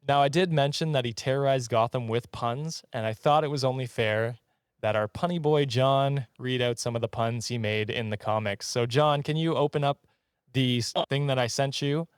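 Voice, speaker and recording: A man's voice: mid-range voice, normal speed, clean tone, no room sound, clean studio sound. A man from Canada hosting a podcast, telling stories in a casual, jokey way with banter. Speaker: podcast host. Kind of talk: casual comedic storytelling with banter.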